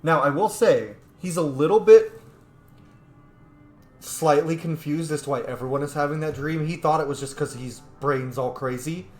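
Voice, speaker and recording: A man's voice: on the high side, normal speed, darkish tone, soft background music. Chatty man gaming in an echoey room. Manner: informal, chatty asides. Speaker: gaming streamer. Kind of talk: casual game playthrough commentary. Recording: roomy echo, faint background noise.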